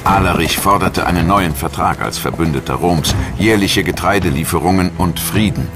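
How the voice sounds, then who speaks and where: Man, very deep pitch, scripted documentary narration, background music. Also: normal speed. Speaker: documentary narrator and voice-over translator.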